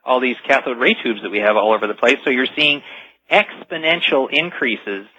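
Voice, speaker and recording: Husky, bright-voiced man explaining. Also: mid-range voice, normal speed. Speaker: guest expert on a radio show. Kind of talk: explanatory radio interview. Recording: dull lo-fi radio sound.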